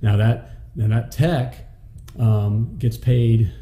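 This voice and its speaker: A man's voice: mid-range voice, slow pace, mellow. A middle-aged man hosting a plumbing business show from a studio, explaining by example and checking in often with "okay?" and "all right?". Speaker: host teaching plumbing business. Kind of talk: explanatory business coaching talk. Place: studio set with a city-window backdrop. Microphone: large studio mic on a boom arm.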